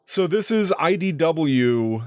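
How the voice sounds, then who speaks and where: A man's voice: a little high, big pitch swings, slow pace, darkish tone, dry recording. Enthusiastic man chatting casually, dry close sound. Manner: enthusiastic, jokey, slangy. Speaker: podcast co-host. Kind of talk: casual film recap chat.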